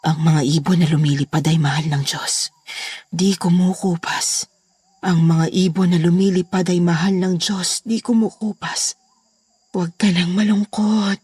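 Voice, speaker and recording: A woman's voice: lowish voice, fairly even intonation, unhurried, a little mellow, slightly rough, no room sound. A woman playing an unhinged, menacing daughter in a horror audio drama, theatrically swinging between eerie sweetness and rage. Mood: eerie, hushed. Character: unhinged, menacing adult daughter taunting her father.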